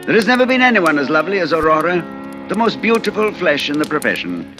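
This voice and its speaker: Suave, wordy stage mentalist, swooping delivery. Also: male voice, a little high, sing-song, fast talker. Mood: wry, chatty. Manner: suave, wordy, mannered, theatrical. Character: urbane, talkative stage mentalist confessing to police. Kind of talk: 1940s radio drama acting. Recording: old radio recording, faint music.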